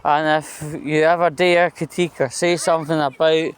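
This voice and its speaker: A man's voice: a little high, level tone, normal speed, clean tone. A Scottish man giving a rambling, conversational political monologue as a vlogger, blunt, sweary and opinionated, often checking in with tag questions.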